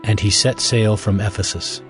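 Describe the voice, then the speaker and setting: A man narrating scripted audio Bible scripture as a measured, solemn storyteller. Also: fairly low pitch, steady tone, soft background music.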